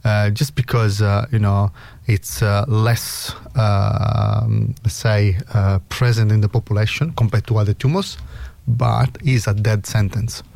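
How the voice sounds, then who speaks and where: A man's voice: on the low side, fairly even intonation, a little slow, creaky, a little mellow. A middle-aged man with a strong Italian accent, a researcher interviewed as a guest, speaking earnestly with many hesitations. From Italy (Sicily).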